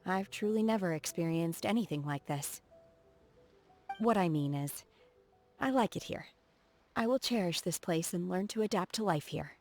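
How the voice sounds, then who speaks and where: Young female game character, lowish pitch, reserved, earnest, formal measured phrasing, socially awkward, background music. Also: expressive, darkish tone. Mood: polite, earnest. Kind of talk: video game character voice acting.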